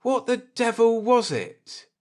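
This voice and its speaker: A man's voice: very high voice, sing-song, slow pace, a little mellow, pitched above the performer's own voice, heavily acted. A man puts on an injured space villain for a sci-fi audiobook. The voice is thick and groaning, pushed up above normal talk, with a bitter edge. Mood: anguished, frustrated. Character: injured, bitter male murderer and petty space officer.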